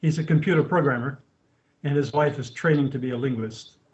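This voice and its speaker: A man's voice: mid-range voice, a little fast. Missionary man in his sixties, lo-fi. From France. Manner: steady, with frequent restarts and fillers. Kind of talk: interview answers. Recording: dull lo-fi sound.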